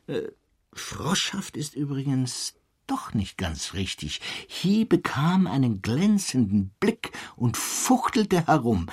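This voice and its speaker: Husky, very animated male academic. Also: medium pitch, exaggerated voice. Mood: eager, rambling. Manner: digressive, professorial, animated. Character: digressive, pedantic male academic recounting a strange conference. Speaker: actor in a radio play. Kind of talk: German radio drama, conversational storytelling.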